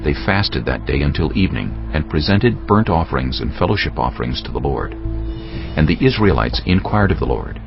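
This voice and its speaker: A man's voice: rumbling low, big pitch swings, brisk, highs cut off, over music. A man narrating dramatized scripture as a solemn storyteller.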